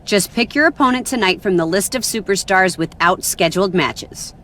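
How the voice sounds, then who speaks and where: A woman acts as an exasperated executive in a wrestling game. Her voice is bright and hard-edged at an average pitch, over slight background noise. She speaks as the one in charge. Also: normal speed, natural voice. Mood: stern, exasperated. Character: stern, exasperated female wrestling boss in charge. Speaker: executive character. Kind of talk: scripted game cutscene dialogue.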